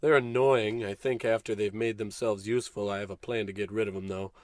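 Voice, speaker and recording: A man's voice: lowish voice, steady tone, fairly quick, darkish tone, no room sound, natural voice. A man playing a pompous, callous American spaceship captain in a comic old-time radio play, bossy and grandiose with dry sarcastic asides. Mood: commanding, blustering.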